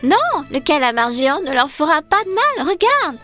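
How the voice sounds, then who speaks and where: A woman's voice: sits high, sing-song, normal speed, pushed and bright, full character voice. A woman voicing a curious, confident young girl adventurer in a French children's cartoon dub. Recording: music behind the voice.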